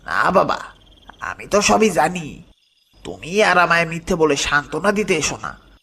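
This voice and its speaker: Guy doing old village boatman uncle for a spooky cartoon, raspy creaky voice, hesitant n kinda wounded, humble. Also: a little high, expressive, measured pace, sharp tone, heavily acted. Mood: hurt, resigned. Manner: hesitant, self-effacing, pleading. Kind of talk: animated horror story voice acting.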